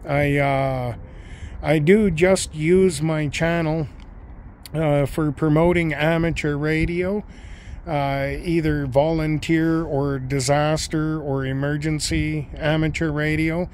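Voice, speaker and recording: Guy doing a slow matter of fact hobby vlog outside, bit of background noise. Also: fairly high pitch, fairly even intonation, darkish tone. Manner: halting, matter-of-fact explaining. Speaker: amateur radio hobbyist and video host. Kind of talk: unscripted demonstration vlog. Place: outdoors in a backyard and deck.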